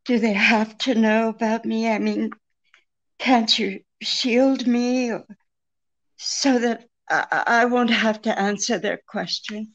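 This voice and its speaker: An actress playing a secretive, seemingly helpless femme fatale client in an old-time radio mystery, halting and pleading with a throb in her voice. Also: on the high side, fairly even intonation, lo-fi sound. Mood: desperate pleading. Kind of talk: old-time radio detective drama re-creation.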